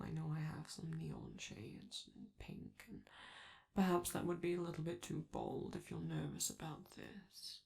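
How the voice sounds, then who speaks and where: A woman voicing a flamboyant, doting robot celebrity in an intimate ASMR roleplay, speaking theatrically and affectionately with drawn-out phrases and a sometimes breathy, creaky voice. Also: on the low side, warm tone, heavily acted. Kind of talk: ASMR comfort roleplay, addressing the listener.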